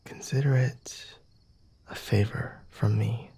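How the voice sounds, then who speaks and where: A man as a breathy, half-whispering flirty vampire. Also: lowish voice, steady tone, warm tone, heavily acted. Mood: teasing, lenient. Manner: slow, deliberate, formal wording with sighs and hums. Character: flirty, condescending, merciful male vampire, self-assured and teasing. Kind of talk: ASMR roleplay.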